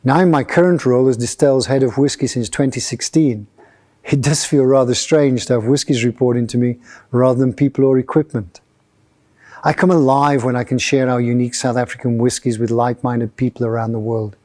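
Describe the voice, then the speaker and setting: Man in his sixties, mellow dark voice, measured acceptance speech. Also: medium pitch, fairly even intonation. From Yorkshire, England. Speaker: whisky distiller.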